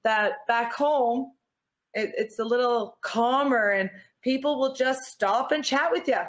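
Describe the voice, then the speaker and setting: A woman's voice: on the high side, measured pace. A Canadian woman speaking as an interview guest, earnest and encouraging in a motivational chat. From born in Newfoundland, grew up in Nova Scotia, Canada. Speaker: interview guest, natural athlete.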